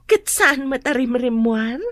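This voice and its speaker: An actress playing an anxious, sharp-tongued woman searching for a missing girl, agitated and scolding in a radio drama. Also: high-pitched, unhurried, no echo, clean studio sound.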